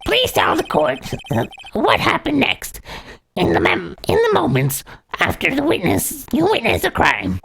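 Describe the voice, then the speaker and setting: A woman playing a forceful prosecutor in a courtroom game, halting and restarting phrases. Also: high-pitched, huge pitch swings, vocal fry, a little hiss or hum, heavily acted, poor audio. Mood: formal. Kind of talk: amateur voiced playthrough of courtroom game script.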